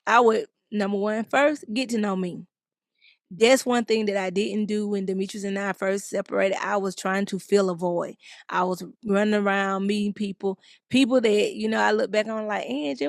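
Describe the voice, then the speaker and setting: A woman in her forties shares advice on a podcast, her voice slightly gravelly and recorded close and dry. She talks in a chatty, meandering way, stringing thoughts together with lots of "you know." Her pitch sits mid-range. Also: dry recording. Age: 40s. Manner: chatty, drawn-out phrasing with many "you know"s. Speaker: podcast co-host. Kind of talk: casual advice chat, reading a listener letter.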